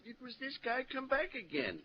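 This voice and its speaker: A cheeky stage doorman from a vintage radio crime show. His high voice leaps all over the scale as he protests and wisecracks, on a muffled recording. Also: sing-song, moderate pace. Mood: earnest, puzzled. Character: cheeky, excitable male theater stage doorman. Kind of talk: 1940s-50s radio drama acting. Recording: muffled, slightly noisy old radio recording.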